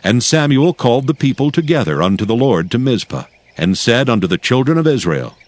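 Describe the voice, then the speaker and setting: A man's voice: medium pitch, big pitch swings, fairly quick. A man narrating scripture dramatically from a script.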